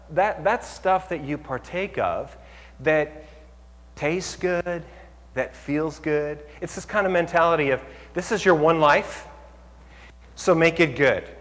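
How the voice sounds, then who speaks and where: A male pastor giving an animated sermon, asking the audience questions and rising to near-shouts to make his points. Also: on the high side. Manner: asks the audience rhetorical questions. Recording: long hall reverb.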